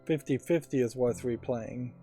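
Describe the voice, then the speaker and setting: A man with a relaxed, mellow voice at a middle pitch. He talks through a game casually and analytically, in a measured, musing way, over faint game music. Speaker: let's play host. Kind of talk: casual reflective gameplay commentary.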